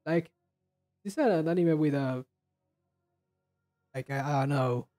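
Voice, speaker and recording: A man chatting casually and haltingly as he hosts a reaction video, rambling in a low-key way. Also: warm tone, faint music behind. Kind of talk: casual chat, reacting to game trailers.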